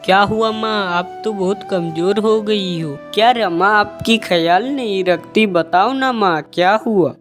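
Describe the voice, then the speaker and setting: A woman's voice: medium pitch, measured pace, few pauses, natural voice. A woman voicing an earnest, caring young man devoted to his mother in a cartoon. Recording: music bed under the voice.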